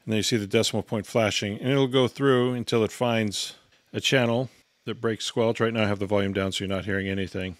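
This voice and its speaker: Man, slightly deep voice, instructional demo, step-by-step, matter-of-fact, very dry sound. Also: steady tone, brisk, no echo. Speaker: product reviewer and demonstrator. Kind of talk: instructional product walkthrough.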